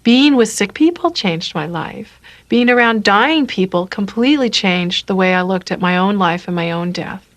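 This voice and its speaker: A woman's voice: medium pitch, animated, normal speed, few pauses. A woman, a nurse, talking reflectively about herself in an interview.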